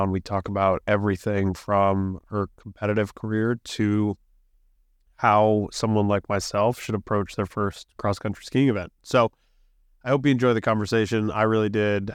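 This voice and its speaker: A man's voice: lowish voice, level tone, moderate pace, smooth, no room sound, studio quality. A man hosting a podcast, chatty and enthusiastic with plenty of fillers, talking while a little sick and sniffling. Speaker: podcast host. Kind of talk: casual podcast intro and interview. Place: office.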